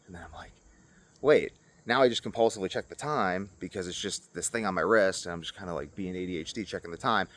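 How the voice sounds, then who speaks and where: A man in his twenties talking casually and unscripted to a camera as a vlogger, rambling from one tangent to another. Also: low voice. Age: 20s. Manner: rambling, jumping between tangents. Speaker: video creator.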